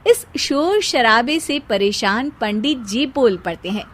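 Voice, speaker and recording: A woman's voice: on the high side, lively intonation, slow. A woman reading scripted narration as the storyteller of a cartoon tale.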